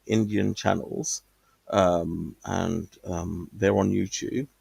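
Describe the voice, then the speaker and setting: A man's voice: sits low, flat delivery, slow, vocal fry, a little mellow, husky, no room sound. A man calling in to a stream, talking casually and rambling with many fillers and restarts. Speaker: caller. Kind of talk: casual call-in chat.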